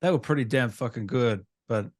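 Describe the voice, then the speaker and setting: A man's voice: medium pitch, a little fast, a little mellow. A man in the role of interviewer, chatting casually.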